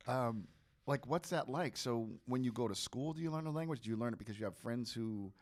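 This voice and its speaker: A man's voice: medium pitch, a little fast. A man from the United States hosting a podcast, talking casually and often repeating and stumbling over words. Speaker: podcast host. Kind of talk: casual conversational interview.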